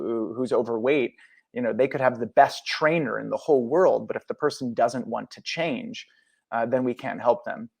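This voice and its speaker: A man speaking as a podcast guest, earnest and explanatory, often pausing on fillers and restarting his sentences. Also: medium pitch, gravelly, darkish tone. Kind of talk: conversational interview.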